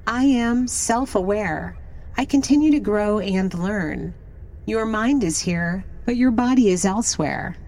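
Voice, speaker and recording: A woman's voice: medium pitch, lively intonation, unhurried, darkish tone. A woman playing a polite, analytical artificial intelligence in an audio drama, speaking formally and precisely. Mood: polite, explanatory.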